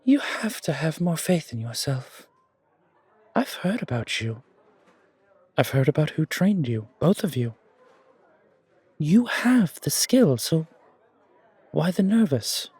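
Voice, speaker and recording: A man voicing a refined, gracious and quietly enigmatic confidant in an audio roleplay, with polished, deliberate, theatrical phrasing. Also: a little high, big pitch swings, husky, no echo, full character voice. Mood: reassuring. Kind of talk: fan audio roleplay acting.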